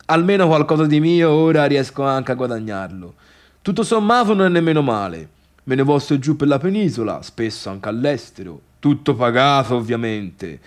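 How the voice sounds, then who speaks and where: An actor playing a bitter, sarcastic failed pianist turned music critic in a theatrical radio monologue, complaining colloquially in a Tuscan accent. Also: male voice, mid-range voice, close to an everyday voice. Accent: Tuscan Italian.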